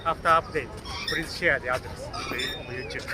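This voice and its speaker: A man with a Japanese accent chats in broken English, playful and halting. His voice sits somewhat high for a man. Loud street noise runs behind him outdoors. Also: fairly even intonation. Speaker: interviewee. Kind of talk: casual chat.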